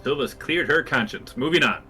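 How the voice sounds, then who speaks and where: A man's voice: medium pitch, expressive, moderate pace, over music. A man playing a tabletop game who issues orders to the others like a squad leader. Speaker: player. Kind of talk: casual tabletop play.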